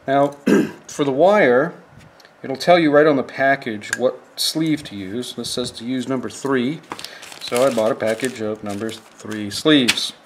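Slow, plain-spoken man explaining a craft. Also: mid-range voice, a little hiss or hum. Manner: plain, step-by-step, unhurried. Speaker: fishing tackle instructor. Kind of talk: hands-on how-to demonstration. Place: indoors at a work table.

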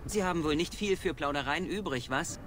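A man's voice: fairly high pitch, a little fast, background noise, close to an everyday voice. An actor playing a wry male mage companion in a fantasy game, speaking in a dry, sardonic manner.

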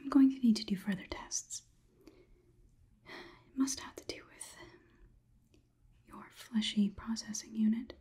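A young woman whispering an ASMR roleplay close to the mic as a coolly logical robot overlord, in a halting, clinical, deliberate way. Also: fairly high pitch, mellow. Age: young adult. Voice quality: breathy, unvoiced whisper. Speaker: ASMR performer. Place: small indoor room. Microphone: close microphone.

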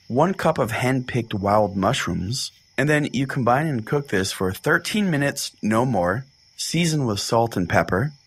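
A man playing an oblivious, quirky pastor who hosts a spoof religious podcast in a folksy, rambling, mock-earnest way. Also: medium pitch. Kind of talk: comedic podcast hosting and reading aloud.

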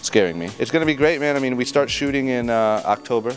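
A young man giving a casual tour of a large indoor space, talking in a relaxed, matter-of-fact way into a handheld mic. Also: mid-range voice, fast talker, faint music behind. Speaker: tour guide, music producer. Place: large indoor warehouse office.

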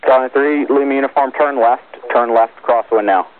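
A man's voice: medium pitch, moderate pace. Tinny-radio male air traffic controller. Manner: terse, procedural. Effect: aviation radio. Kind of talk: clipped radio instructions to pilots. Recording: tinny narrow-band airband radio.

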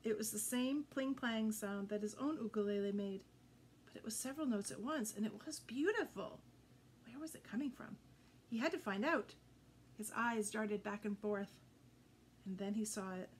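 A woman in her fifties reading a children's story aloud with expressive, deliberate phrasing. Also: medium pitch, slight background noise. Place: indoor room with green curtain backdrop.

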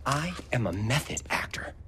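A man's voice: mid-range voice, very animated, moderate pace, sharp tone, a little hiss or hum. An actor playing a naive young male movie actor who joins a heist, earnest and easily alarmed. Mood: eager. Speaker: actor tagging along on a heist. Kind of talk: video game cutscene.

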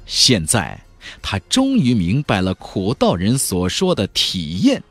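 A male audiobook narrator with a lowish, husky voice. He tells a fantasy tale theatrically, with huge swings of intonation and emphatic swells. Soft music plays behind his close delivery. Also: wildly swooping pitch, slow, no room sound. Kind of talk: dramatic scripted narration of a fantasy novel. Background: faint background music.

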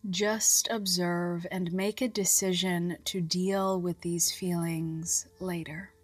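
A woman reading a scripted guided relaxation in a soft, soothing, measured voice with long pauses and breathy phrase endings. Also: lowish voice, flat delivery, no echo. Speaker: guided meditation narrator. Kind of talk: scripted hypnobirthing relaxation guidance. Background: music.

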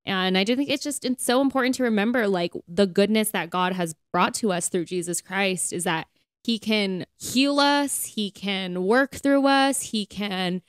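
A woman with a smooth, clear voice at an everyday pitch. She chats as a podcast co-host about faith, earnest and informal, often leaning on "like". The sound is dry. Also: normal speed, no echo. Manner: conversational, earnest, filler-heavy with frequent "like". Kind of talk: casual faith podcast chat.

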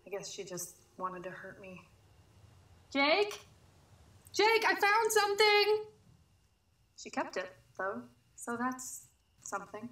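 An actress as an edgy woman out searching with her dog in an audio drama. Her voice is high, bright and unhurried, with halting, coaxing talk. The recording is roomy with faint noise. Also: big pitch swings, heavily acted. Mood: urgent, coaxing. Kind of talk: naturalistic audio drama acting. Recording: noisy, roomy dialogue recording.

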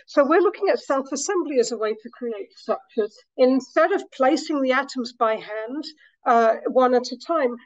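A woman professor lectures on her research, her voice a touch scratchy and pitched fairly high. She explains patiently with many small restarts. The sound is dry and slightly dull. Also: no room sound. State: slightly scratchy voice after a week of talking. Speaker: materials science professor giving a talk. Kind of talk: academic lecture. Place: lecture room.

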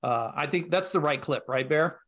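A middle-aged man chatting casually as an interview guest, halting and pausing often. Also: fairly high pitch, a bit bright. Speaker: voice actor and writer, guest. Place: indoors, on a video call.

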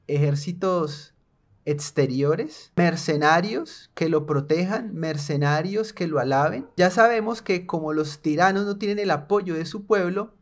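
A young Colombian man delivers biting political commentary from a small room, with a somewhat high voice. His tone is sarcastic and indignant throughout. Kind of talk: opinionated video commentary.

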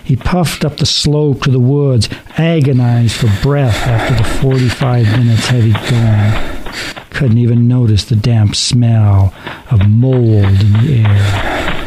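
A man's voice: medium pitch, low-quality recording. Male radio play narrator over music. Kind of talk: dramatic scripted story narration. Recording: music under the voice.

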